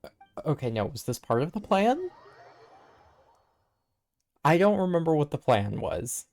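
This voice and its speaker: A man's voice: on the high side, sing-song, measured pace, vocal fry, a little mellow. A man giving casual, chatty live gameplay commentary as a streamer, reacting aloud to the game.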